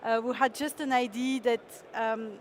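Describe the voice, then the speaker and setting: A woman pitches her company on stage in a fairly high voice. She speaks haltingly and fills pauses with "uh" and "um". Faint traffic hums behind her. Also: steady tone. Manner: hesitant, frequent fillers, laughs easily. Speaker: company presenter. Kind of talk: startup pitch presentation.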